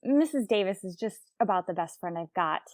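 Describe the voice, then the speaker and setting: Wry, deadpan radio comedy schoolteacher played by an actress. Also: female voice, medium pitch, a little fast, no echo, natural voice. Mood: urgent. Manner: deadpan, sarcastic asides. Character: wry, lovelorn female schoolteacher with dry wit. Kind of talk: old-time radio situation comedy acting.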